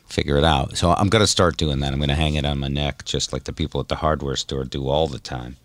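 A man's voice: very deep voice, steady tone, fast talker, a bit bright, no echo. A man hosting a podcast, telling stories in a casual, informal and self-deprecating way. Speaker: podcast host. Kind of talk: casual chat, storytelling.

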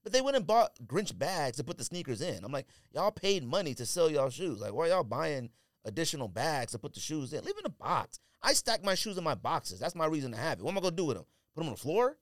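A man hosting a sneaker podcast, talking in a casual, blunt and slangy way, quick to argue. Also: fairly high pitch, big pitch swings, no room sound, studio quality. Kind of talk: casual chat and debate.

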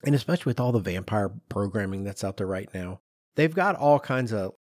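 A man's voice: on the low side, expressive, fairly quick, darkish tone, dry recording. Chatty male podcast co-host, dry close sound. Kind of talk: casual chat, hypothetical debate.

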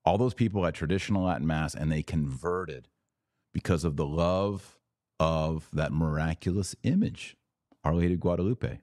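A man's voice: low voice, normal speed, a little mellow, no room sound, clean studio sound. A man hosting a podcast. He reads out viewer comments, riffs on them with sarcasm, and turns preachy when he makes his point. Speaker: podcast host.

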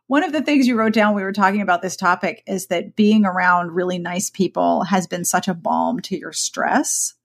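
A woman with a deep voice co-hosting a podcast, laid back and friendly but frank and opinionated. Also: steady tone, a little slow. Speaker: podcast co-host. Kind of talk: casual podcast chat.

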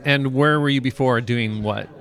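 A man's voice: mid-range voice, moderate pace, a bit bright. A man hosting a podcast, asking conference guests short questions with brief, encouraging prompts. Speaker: podcast host. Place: conference venue.

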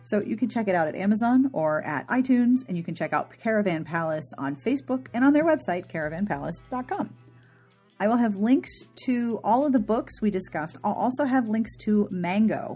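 A woman's voice: lowish voice, darkish tone, breathy edge. A woman hosting a podcast, chatting casually and wryly through an informal outro. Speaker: podcast host. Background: music.

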